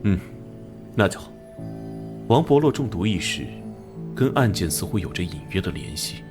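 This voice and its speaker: A serious cop captain, low voice, lively delivery, music behind, animated crime show. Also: male voice. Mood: businesslike, commanding. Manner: authoritative, brisk, serious. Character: gruff, earnest, commanding male police detective captain. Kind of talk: Chinese animated crime drama acting.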